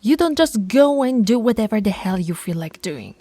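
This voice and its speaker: A woman's voice: medium pitch, big pitch swings, normal speed, dark tone, husky, soft background music. A woman voicing a stern, teasing alpha werewolf pack leader in an audio roleplay, authoritative and taunting. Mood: stern, scolding.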